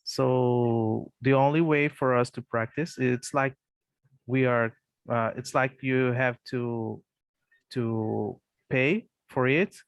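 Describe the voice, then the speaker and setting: A man's voice: medium pitch, steady tone, takes it slowly, no echo. A Brazilian man with a Portuguese accent, a native Portuguese speaker practicing English in a casual online lesson, hesitant with many fillers and restarts. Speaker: English learner.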